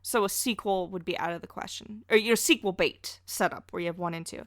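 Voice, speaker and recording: A woman's voice: on the low side, brisk, dry recording, studio quality. A woman chatting casually as a podcast guest, effusive and self-deprecating. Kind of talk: casual pop-culture podcast chat.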